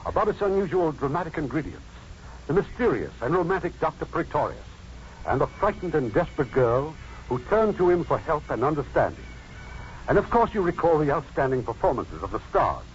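A man's voice: a little high, a little hiss or hum. A man hosting a 1950s radio theater, reading scripted introductions and narration in a formal, declamatory showman's manner. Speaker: producer and host introducing a radio play. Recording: muffled 1950s radio broadcast recording.

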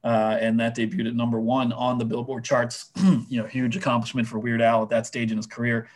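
A man whose voice is going hoarse, chatting casually on a sports podcast with lots of fillers and restarts. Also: medium pitch, little pitch movement, fairly quick. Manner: rambling, many fillers and restarts. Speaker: minor league baseball writer guest.

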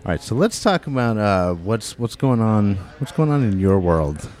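A man's voice: fairly low pitch, very animated, normal speed, darkish tone. A man hosting a podcast in a crowded restaurant, talking in a loose, chatty way and swearing casually. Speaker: podcast host. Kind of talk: casual interview.

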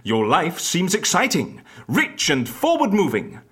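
Husky, animated, genial male interviewer. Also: high voice, unhurried, exaggerated voice. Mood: genial, wry. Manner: hesitant, polite, musing. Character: genial, bumbling male agency interviewer, wryly curious. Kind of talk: scripted audio comedy drama acting.

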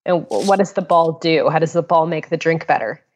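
A woman with a somewhat low voice co-hosts a podcast. She talks in an easygoing, bantering way, as casual chat, into a small portable mic on a phone. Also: brisk. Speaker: podcast co-host. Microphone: portable mic plugged into a phone.

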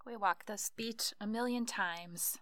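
A woman's voice: medium pitch, measured pace, sharp tone, no echo, clean studio sound. A woman playing a dead wife of forty returned as a memory in an audio drama, nostalgic and reproachful, with pointed questioning. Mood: nostalgic, matter-of-fact.

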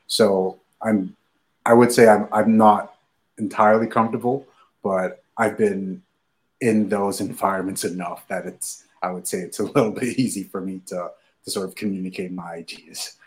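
Man, very deep voice, monotone, measured, filler-heavy. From Nigeria. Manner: measured, many fillers and hedging phrases. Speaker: startup founder, guest. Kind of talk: interview answers.

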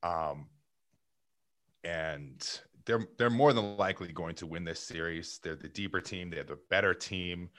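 Man co-host, deep voice, joking and animated in sports chat. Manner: playful, self-mocking, animated analysis. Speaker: podcast co-host. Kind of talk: casual sports podcast chat.

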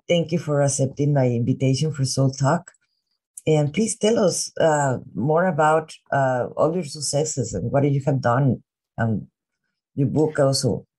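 A woman hosting a podcast, introducing her guest at an unhurried pace with small restarts as she searches for words. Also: a bass voice, mellow. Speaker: podcast host. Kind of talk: warm spoken podcast introduction.

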